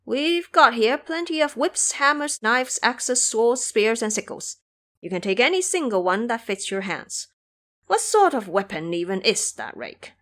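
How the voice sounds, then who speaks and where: A woman's voice: on the high side, very animated, measured pace, fairly bright tone. A woman voicing a timid, courteous king with formal, stately speech in an audio drama. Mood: amused disdain.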